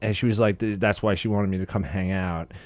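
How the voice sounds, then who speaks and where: A man's voice: low-pitched, steady tone, brisk, no echo. American guy bantering, close dry sound. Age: 30s. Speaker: podcast co-host. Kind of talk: casual, profane banter.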